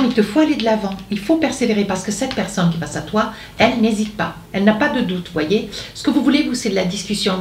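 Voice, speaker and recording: A woman's voice: medium pitch, echoey room, slight background noise. A woman giving a monthly tarot guidance to her viewers, meandering and hesitant, coaxing the listener with lots of fillers and tag questions. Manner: intuitive, coaxing, speaks directly to the listener. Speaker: tarot card reader.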